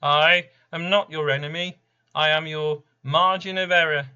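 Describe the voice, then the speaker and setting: Smug fifth horseman played by a man, taunting and deadpan, higher-pitched male voice, very unhurried delivery, poetic monologue. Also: smooth, lo-fi sound. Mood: sly, deadpan. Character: RanDumb, smug casual fifth Horseman of the Apocalypse. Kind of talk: dramatic poetry monologue.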